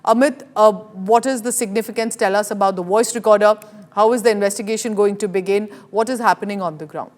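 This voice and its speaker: A woman's voice: mid-range voice, a little fast. A woman with an Indian accent presenting live news as an anchor, emphatic and explanatory in delivery.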